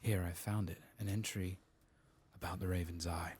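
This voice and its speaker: A man speaking softly and often whispering in ASMR style as he comments on a game he plays, close to the microphone. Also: low-pitched, steady tone, slight background noise. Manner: hushed, reads quest text aloud slowly. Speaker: ASMR gaming streamer narrating a playthrough.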